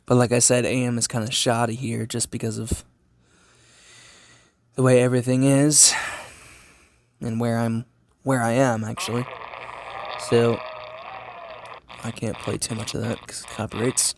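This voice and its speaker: A man's voice: mid-range voice, little pitch movement, measured pace, vocal fry, long pauses, noisy background. A man giving a casual hands-on gadget review as a channel host, informal and repetitive as he thinks aloud.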